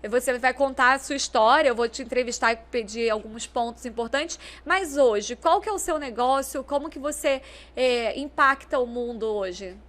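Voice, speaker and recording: A woman, hosting a podcast and interviewing a guest in a measured way. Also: fairly high pitch, fairly bright tone. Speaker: podcast host.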